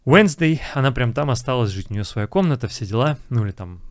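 A man's voice: mid-range voice, lively intonation, fast, a little hiss or hum. A young man, an English teacher, casually explaining film lines and repeating English phrases.

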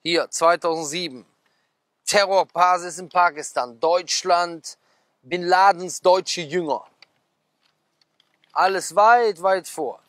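A man in his thirties, a preacher, sitting at a garden table outside, reading out headlines and breaking into animated asides with questions to his listeners. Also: fairly high pitch, no echo. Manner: animated, gesturing, quick asides with rhetorical questions. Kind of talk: casual talk, reading out magazine headlines. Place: outdoors at a garden table, sunny.

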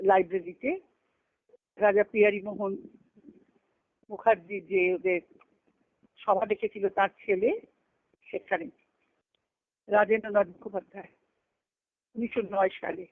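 A woman giving a devotional discourse on a conference call, telling stories earnestly and slowing down to recite scripture verses. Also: mid-range voice, dry recording. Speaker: spiritual discourse speaker. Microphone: phone on a conference call. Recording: tinny phone line, dry.

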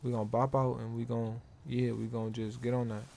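A man's voice: medium pitch, level tone, fast, vocal fry, warm tone. An American man chatting casually in street slang as he roleplays in an online game, often repeating his phrases. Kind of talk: casual in-character street chat. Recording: noisy gaming voice chat.

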